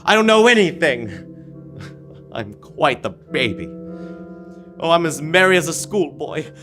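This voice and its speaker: A man's voice: a little high, very animated, a little slow, bright, forceful tone, full character voice. A young man playing an old reformed miser giddy with joy, in exclamatory old-time radio drama style. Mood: giddy, joyful. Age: teenager. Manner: exclamatory, theatrical. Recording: live radio play with music.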